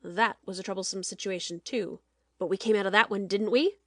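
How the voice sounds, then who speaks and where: A woman playing a domineering, cold-blooded TV producer in a dramatic audiobook, speaking in a forceful, pointed way. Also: mid-range voice, fairly even intonation, fairly quick, dry recording, studio quality, close to an everyday voice. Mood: grave, scheming. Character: hard, calculating TV show producer, domineering.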